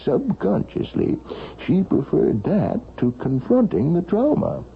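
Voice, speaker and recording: Earnest, clinical male doctor, gravelly and lively, 1970s radio drama. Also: a little high, heavily acted. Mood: explanatory. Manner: deliberate, clinical explaining. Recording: tinny, band-limited vintage radio recording.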